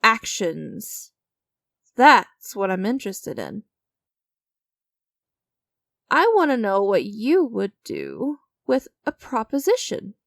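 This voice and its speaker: A woman playing an enigmatic, creepy stranger in a dramatic ASMR-style audio roleplay, teasing and condescending, with deliberate theatrical pauses. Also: medium pitch, huge pitch swings, creaky, dry recording, studio quality, heavily acted. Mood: probing, ominous. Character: enigmatic, creepy female stranger, sly and knowing deal-maker.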